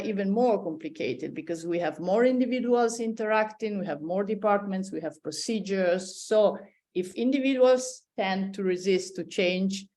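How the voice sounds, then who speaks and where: A woman's voice: lowish voice, a little mellow. A middle-aged woman giving a conference presentation as a professor, speaking hesitantly with many fillers and restarts. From born in Poland, raised in Italy.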